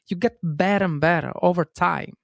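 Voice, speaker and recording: Gravelly Italian-accented man hosting a podcast. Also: high-pitched, normal speed, no room sound, studio quality. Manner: earnest, self-correcting, frequent fillers and "guys". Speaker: podcast host. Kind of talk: solo self-help podcast monologue.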